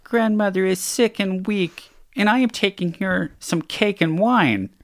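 Woman doing a slow, innocent little girl. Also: medium pitch, lightly acted. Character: naive trusting little girl in red cap. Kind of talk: storybook read-aloud.

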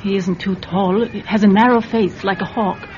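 A woman acts out an earnest, anxious immigrant mother in an old radio drama. She sounds wary and pleading, with a medium pitch, on a dull recording with light noise. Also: fairly even intonation, normal speed, a little mellow, some chatter behind, lightly acted. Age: adult.

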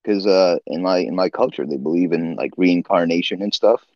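Deep-voiced laid-back man from Nepal. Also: normal speed, darkish tone, no echo, muffled recording. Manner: laid-back, laughs often, trails off with "uh" and "you know". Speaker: guest, swimbait builder. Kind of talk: casual storytelling interview.

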